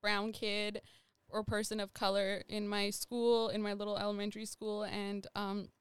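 A woman's voice: mid-range voice, monotone, normal speed, smooth, no echo. A young woman from Nepal, a guest in a casual interview, rambling and full of "like" fillers.

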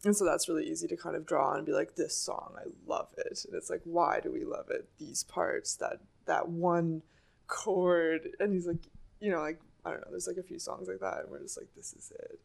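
A young woman musician with a low voice, rambling and laughing a lot as she talks about writing songs. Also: normal speed, vocal fry. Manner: giggly, rambling, many "like" fillers. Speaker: singer and songwriter. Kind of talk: casual interview chat.

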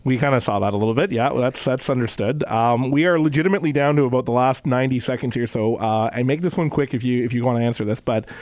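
A man's voice: medium pitch, fast, hardly any pauses, a little mellow, no echo. A man hosting a casual sports radio interview show from a studio, rambling and repeating words as he restarts sentences. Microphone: studio microphone.

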